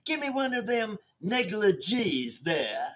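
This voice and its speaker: A man's voice: high voice, very animated, slow pace, a bit bright, full character voice. An actor playing a brash, flirtatious, conceited male bandleader with a Southern accent in a radio comedy. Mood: cocky. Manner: jive-talking, cocky. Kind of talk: 1940s radio comedy acting. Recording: dull online radio-play recording.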